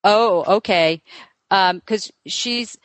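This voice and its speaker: A woman's voice: medium pitch, no room sound. Lady medium doing a reading, rambly, tons of "um" and "like", dry close sound. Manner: chatty, thinking aloud with many fillers; asks many quick questions in a row. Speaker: psychic medium. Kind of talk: live reading session with a client.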